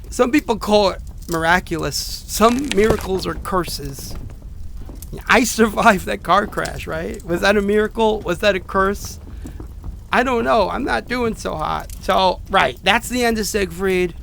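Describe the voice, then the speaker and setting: A man acts out an anxious, haunted survivor in a horror audio drama, speaking into a recorded diary. His voice is high for a man and crackles with fry. He rambles and hesitates, running himself down as he goes, over a faint layer of noise. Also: fairly bright tone, heavily acted. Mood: grim, then brooding, wry. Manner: rambling, hesitant, self-deprecating.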